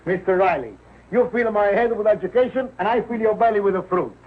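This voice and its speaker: Man playing excitable Italian immigrant on tinny old broadcast. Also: high voice, fairly quick, a little hiss or hum, natural voice. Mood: excitable, eager. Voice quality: broken English with stretched words. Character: excitable, good-natured Italian immigrant night-school pupil. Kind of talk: 1940s television sitcom acting.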